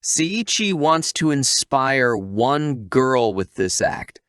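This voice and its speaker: A man's voice: mid-range voice, sing-song, slow, fairly bright tone, clear, resonant tone, dry recording, clean studio sound. A man narrating a scripted story recap, with casual asides to the listener.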